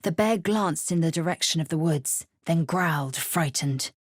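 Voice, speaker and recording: A woman playing a fierce, haughty young alien warrior in a video game, with a clipped, stern and disdainful delivery and a husky voice. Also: low-pitched, moderate pace, no echo, clean studio sound. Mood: stern, measured. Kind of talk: video game character lines and narration.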